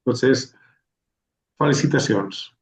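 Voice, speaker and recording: A man hosting an award ceremony, halting and full of fillers and restarts. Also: mid-range voice, echoey room. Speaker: organizer and host of a literary award ceremony. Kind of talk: hesitant ceremonial remarks, switching to Catalan.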